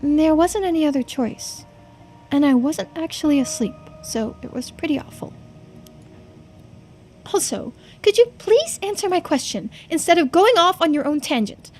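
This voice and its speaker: Woman voicing an exasperated, high-pitched immortal princess. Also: animated, moderate pace, darkish tone, faint music behind. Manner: exasperated, blunt. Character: long-suffering immortal princess, young-sounding, indignant, blunt.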